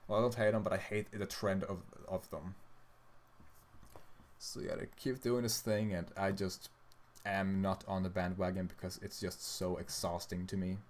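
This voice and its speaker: A man's voice: low voice, level tone, normal speed. A young man from Sweden, a native Swedish speaker, chatting casually on a livestream, blunt, profane and tired as he answers questions. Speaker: streamer answering chat questions.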